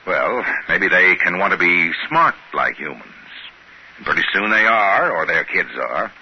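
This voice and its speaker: Shrewd, low-voiced radio-drama schemer. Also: male voice, lively intonation, measured pace, breathy edge, exaggerated voice. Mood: scheming, uneasy. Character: shrewd, suspicious male trading-post partner hatching a plan. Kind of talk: 1950s radio drama acting. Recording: hissy, muffled 1950s radio recording.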